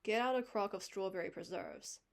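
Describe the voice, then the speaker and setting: A woman's voice: medium pitch, wildly swooping pitch, moderate pace, vocal fry. A woman reading aloud, voicing a strict older woman who scolds firmly. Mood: consoling. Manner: stern, scolding, firm.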